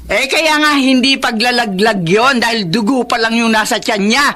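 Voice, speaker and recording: Radio drama casting: a scolding village mother, high, bright and biting. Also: normal speed. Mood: angry scolding. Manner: scolding, sharp-tongued. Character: harsh scolding village mother and folk healer.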